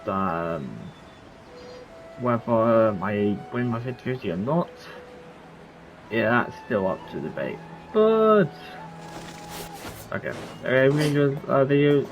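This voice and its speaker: A video game player giving casual, offhand commentary, mumbling asides and trailing off. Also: mid-range voice. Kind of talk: casual gameplay commentary, reading dialogue aloud. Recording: dull lo-fi mic with game music.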